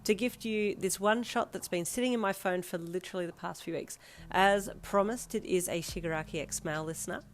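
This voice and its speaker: A woman with a deeper voice, chatty and tomboyish, reading her own audio fanfiction. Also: fairly quick, slight background noise. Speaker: fanfiction reader.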